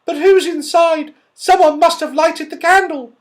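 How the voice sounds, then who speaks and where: High, excitable baby bear. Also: male voice, a little slow. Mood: excited wonder. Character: small excitable baby bear, shrieking and squeaky.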